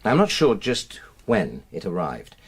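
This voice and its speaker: A man's voice: fairly low pitch, huge pitch swings, measured pace, breathy edge, heavily acted. A man acting in a naturalistic radio drama as a defensive, aggrieved husband, earnest and emphatic as he justifies himself. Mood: uneasy, explaining. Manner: earnest, emphatic, self-justifying, hesitant under questioning. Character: aggrieved, defensive middle-class husband suspected of an affair.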